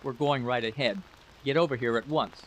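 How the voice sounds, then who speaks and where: A man's voice: medium pitch, normal speed, a bit bright, slight background noise, natural voice, poor audio. Man as brusque, suspicious project leader. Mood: brusque, commanding. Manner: curt, commanding.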